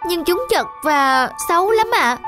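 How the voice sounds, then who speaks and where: A woman voicing a wistful little schoolgirl in an animated moral tale, plaintive and childlike, with polite words for elders. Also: very high-pitched, a little slow. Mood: pleading. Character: little schoolgirl, wistful, longing for a new dress. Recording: background music under the voice.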